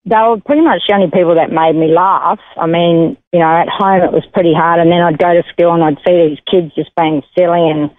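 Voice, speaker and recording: A middle-aged Australian woman, raised speaking Italian, tells her life story in a hesitant, unhurried way with many ums and "you know"s, heard over a phone line. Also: on the low side, no room sound. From Perth, Western Australia. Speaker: interview guest. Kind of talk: personal testimony in a conversational interview. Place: her home.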